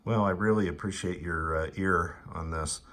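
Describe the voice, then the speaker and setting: Very deep-voiced man chatting technically. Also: fairly even intonation, normal speed, fairly bright tone, slight background noise. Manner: hesitant, technical, explanatory. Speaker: amateur radio operator, channel host. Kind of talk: casual ham radio chat.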